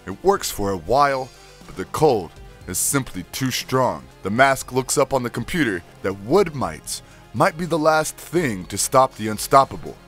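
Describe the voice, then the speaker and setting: A man's voice: mid-range voice, animated, normal speed, fairly bright tone, soft background music. A man reading scripted narration that recaps cartoon episodes.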